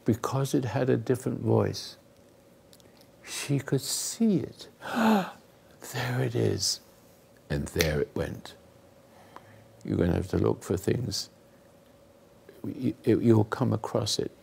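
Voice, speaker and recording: An older man hosting a talk, giving rambling, earnest moral teaching to a live group, often dropping into hushed asides. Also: medium pitch, sing-song, takes it slowly, pauses often. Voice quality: gravelly, husky. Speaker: host and spiritual counselor.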